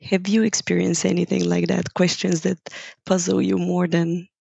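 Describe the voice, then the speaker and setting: A woman's voice: fairly low pitch, little pitch movement, normal speed, vocal fry, a little mellow, breathy edge, no room sound. A woman from Bulgaria, a guest on an interview who speaks thoughtfully, searches for her words and laughs lightly. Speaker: guest, yoga teacher. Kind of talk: reflective interview conversation. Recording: dull, dry lo-fi recording.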